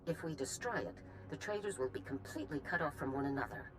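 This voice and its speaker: A woman playing a stern, authoritative general who speaks in a measured, commanding way in a game cutscene. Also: sits low, expressive, music in the background. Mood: briefing. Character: stern, authoritative female general briefing a soldier. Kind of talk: video game cutscene acting.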